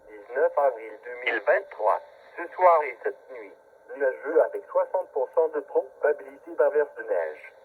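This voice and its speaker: A synthetic male voice reads scripted weather forecasts in clipped, impersonal phrases over a radio. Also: medium pitch. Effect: radio transmission. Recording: narrowband, staticky weather radio broadcast.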